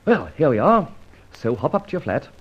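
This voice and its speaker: An actor playing a suave, flippant gentleman adventurer in an old-time radio serial, with breezy old-fashioned slang. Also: fairly high pitch, very animated, moderate pace. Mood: confident, scheming. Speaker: narrator and lead actor. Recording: muffled vintage radio recording, faint noise.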